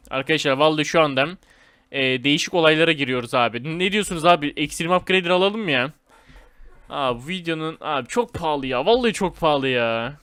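A man giving casual, excitable gameplay commentary in informal slang, talking straight to his viewers. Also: fairly high pitch, clean tone, dry recording, studio quality. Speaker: gaming video host.

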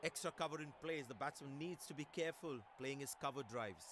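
A man playing a keen, excitable cricket commentator in a video game, speaking scripted commentary. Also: mid-range voice, fairly quick, noisy background, close to an everyday voice. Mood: measured, analytical. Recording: loud game stadium ambience behind. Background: game ambience, ball knocks.

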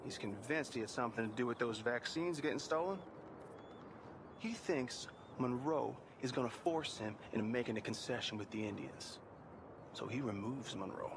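A man's voice: mid-range voice, normal speed, noisy background, lightly acted. An actor playing a concerned army man confiding grim intrigue in a video game drama, urgent in manner. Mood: urgent, worried.

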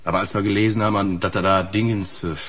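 An actor playing a plain-spoken, sheepish long-distance trucker who talks colloquially in a radio crime drama. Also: male voice, lowish voice, little pitch movement, fast, fairly bright tone, husky. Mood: sheepish, explaining.

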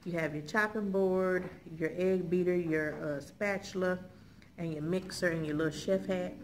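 A middle-aged American woman with a lowish voice chats casually to her viewers. Her manner is folksy and conversational, with frequent little check-ins. There is clear background noise in the indoor recording. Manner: folksy, conversational, frequent check-in tags like okay and all right. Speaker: haul video host. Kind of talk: casual chat showing purchases to viewers. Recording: clearly noisy room recording.

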